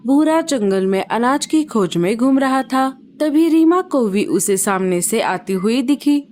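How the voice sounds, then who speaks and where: Woman narrator, high voice, scripted storybook narration for kids. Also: lively intonation, a little slow, darkish tone, faint music behind.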